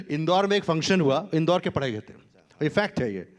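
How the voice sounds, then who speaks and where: A male doctor on a conference stage spins a relaxed anecdote, his voice sitting a little high for a man. He talks in a chatty way, drifting between Hindi and English and breaking into laughter. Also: moderate pace. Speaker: doctor on a panel.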